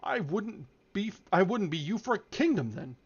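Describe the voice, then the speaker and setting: A man voicing an imperious, sharp-tongued married woman from a novel, speaking in haughty, emphatic declamation. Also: on the high side, very animated, moderate pace, lo-fi sound. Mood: emphatic warning.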